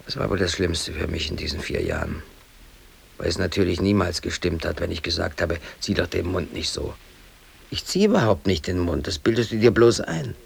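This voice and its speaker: A man's voice: deep voice, very animated, moderate pace, creaky, slightly rough, exaggerated voice. An actor playing an aggrieved, defiant working man in his thirties, brooding aloud in colloquial speech in a radio drama. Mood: bitter, rueful. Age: 30s. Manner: colloquial, brooding, talking to himself. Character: aggrieved, defiant working man, hobby photographer, in police custody.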